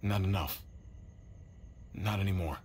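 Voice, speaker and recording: An actor playing a steady, protective man in an apocalypse video game drama, plain-spoken and reassuring. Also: low-pitched, a little slow, slight background noise. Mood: serious. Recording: music behind the voice.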